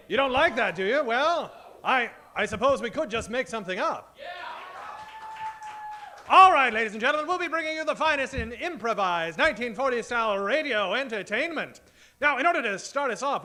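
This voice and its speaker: A man with a very high, animated voice plays emcee in 1940s radio style. He projects like a showman from a theater stage, improvising his patter as host of a live comedy show. The tone is bright and forceful. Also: a little hiss or hum.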